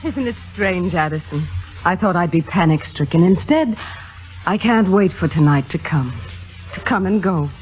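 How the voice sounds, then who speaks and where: A woman acting as an ambitious young actress in old radio drama. She is self-assured and theatrical, with a poised, fairly low voice. The recording is dull and vintage, with faint music. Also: expressive, normal speed, a little mellow, lightly acted. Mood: calm, confident.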